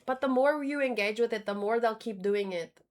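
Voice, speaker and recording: Higher-voiced woman, casual streamer talk. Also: brisk. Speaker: streamer reacting to manga. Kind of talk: casual livestream commentary.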